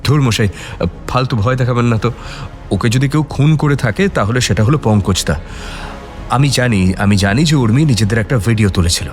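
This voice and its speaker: A man's voice: mid-range voice, brisk, soft background music, lightly acted. A man playing a defensive, agitated film actor under suspicion, protesting emphatically in a radio drama.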